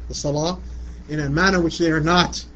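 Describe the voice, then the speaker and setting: A man's voice: a little high, fairly even intonation, brisk, fairly bright tone. A middle-aged man from Iraq giving a religious lecture in a conversational way, often asking "you know what I'm saying". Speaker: Islamic lecturer. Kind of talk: religious lecture answering a question. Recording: muffled lo-fi recording with light background noise.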